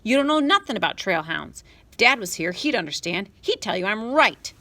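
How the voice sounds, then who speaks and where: A woman voicing an angry, stubborn young boy who yells in a shrill voice. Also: animated, normal speed, vocal fry, full character voice. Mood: defiant. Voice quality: shrill yelling.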